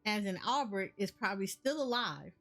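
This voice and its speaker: A woman's voice: medium pitch, lively intonation, normal speed, fairly bright tone. A woman giving casual game reaction commentary, thinking aloud and often repeating herself. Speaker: gaming streamer. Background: game music.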